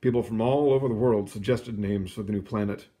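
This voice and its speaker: A man reading a children's storybook aloud in an expressive, explanatory way, with casual asides. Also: fairly low pitch, a little fast. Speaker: storybook reader.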